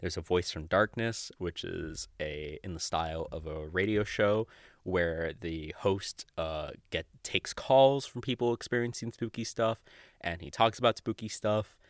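A young adult man hosting a podcast solo, chatting casually and off the cuff in a giggly, rambling, sweary way with halting false starts. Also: low-pitched, no echo, studio quality. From Mexico or of Mexican family. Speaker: podcast host. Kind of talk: casual unscripted podcast monologue.

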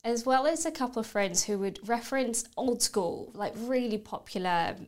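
A woman's voice: on the high side, normal speed. A woman in her thirties with a British accent, talking candidly and reflectively about her own life as an interview guest. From United Kingdom. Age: 30s. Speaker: podcast guest. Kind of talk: reflective personal conversation.

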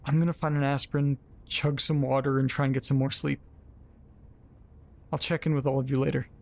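An actor playing a hungover man in an audio drama, muttering wearily and haltingly to himself and his dog with groans and sighs. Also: medium pitch, flat delivery, gravelly, a little mellow, close to an everyday voice. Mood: weary, regretful. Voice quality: groaning, sighing. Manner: weary, self-pitying, halting muttering. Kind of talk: first-person audio drama monologue.

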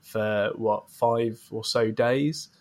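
A man's voice: on the low side, steady tone, very slow. A man hosting an interview podcast, speaking in a friendly, conversational presenting style. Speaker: podcast host interviewing a comic creator. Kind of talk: scripted podcast intro and friendly interview chat.

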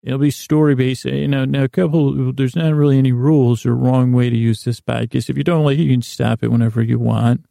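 A man hosting a bedtime podcast, talking in a meandering, halting monologue that repeats itself and trails off. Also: medium pitch, fairly even intonation, creaky, dark tone, no echo, clean studio sound. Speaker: podcast host. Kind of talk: rambling bedtime podcast monologue to help listeners sleep.

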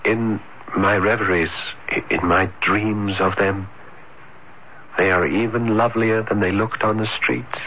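An actor playing an anguished, lonely, shy scholar in revolutionary Paris, halting and melodramatic, in an old-time radio drama. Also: male voice, lowish voice, fairly even intonation. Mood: dreamy longing. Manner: halting, repeating himself, melodramatic. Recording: dull, noisy 1970s radio recording.

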